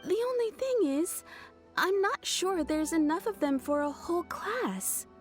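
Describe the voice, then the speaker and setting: An actress playing a friendly, polite fabric shop owner in a video game, eager to help. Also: female voice, very high-pitched, animated, unhurried, faint music behind. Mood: helpful, pleased. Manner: polite, helpful. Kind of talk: scripted video game voice acting.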